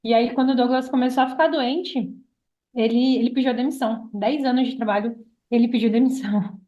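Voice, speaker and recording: A Brazilian woman around thirty with a fairly high voice, recorded in a roomy, echoing space. She tells her own story conversationally, as a podcast guest. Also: steady tone, a little fast, dark tone. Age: about 30. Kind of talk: casual personal storytelling.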